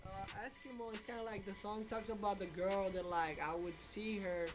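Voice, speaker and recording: Guest: young man, Albanian first language, high voice, relaxed, lots of fillers, faint music under. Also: moderate pace. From born in Greece, raised in Spain. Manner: relaxed, many fillers, trails off quietly. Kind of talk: casual interview. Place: studio.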